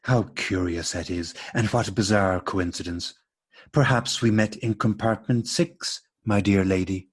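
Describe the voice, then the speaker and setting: Courteous, bemused gentleman for absurdist radio comedy, male actor. Low, rough-edged voice, formal phrasing. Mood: mock astonishment. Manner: formal, deliberate, mock-astonished. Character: courteous, puzzled English gentleman meeting a lady. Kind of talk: absurdist stage dialogue read as radio play.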